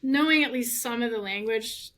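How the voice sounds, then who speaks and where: A middle-aged woman, a law professor guesting on a podcast, telling animated stories and laughing often. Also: on the high side, slight background noise. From United States. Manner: animated storyteller, laughs often, fast and chatty. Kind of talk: casual interview chat.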